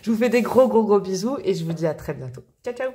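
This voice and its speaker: A chatty woman talks straight to her viewers during a tarot reading. Her delivery is lively and expressive, with playful stresses and the odd laugh. Her pitch sits mid-range. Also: fast talker, a little hiss or hum. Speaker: tarot reader. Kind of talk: casual tarot reading to camera.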